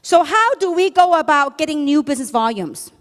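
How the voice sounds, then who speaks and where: A woman's voice: high-pitched, expressive, a little fast. A woman with a Chinese accent gives a motivational business seminar talk, emphatically posing questions and answering them herself. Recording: echoey hall, long reverb.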